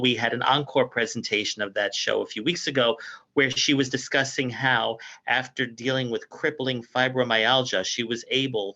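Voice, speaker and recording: A man's voice: medium pitch, bright, forceful tone, muffled recording. A man hosting a health talk radio show over a video call, introducing his guest and reading a formal disclaimer.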